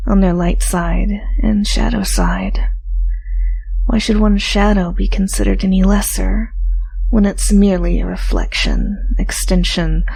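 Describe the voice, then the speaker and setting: A woman reading a scripted hypnosis induction as a hypnotist, talking softly and slowly and often sliding into a breathy whisper. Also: fairly low pitch, a little hiss or hum, rough recording. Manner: soft, slow, breathy whispering. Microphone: studio mic, binaural.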